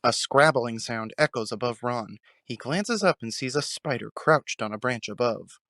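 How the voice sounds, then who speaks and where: A man's voice: medium pitch, expressive, moderate pace, a bit bright, no echo. Dry, close-sounding male narrator. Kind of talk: dramatic scripted narration.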